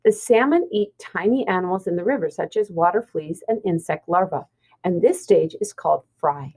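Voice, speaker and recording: A woman's voice: lowish voice, animated, moderate pace, a little mellow, rough recording. Woman, teacher read-aloud, children's science book, very varied intonation, simple explanatory delivery. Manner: explanatory, pointing things out simply.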